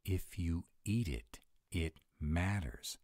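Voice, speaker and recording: Man acting a very deep, grumpy were-dragon. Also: takes it slowly, no echo, studio quality. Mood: reluctant, exasperated. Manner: grumbling, exasperated, softening into boyish eagerness. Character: grumpy tsundere male were-dragon, gruff but secretly soft. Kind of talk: audio roleplay acting, addressed to the listener.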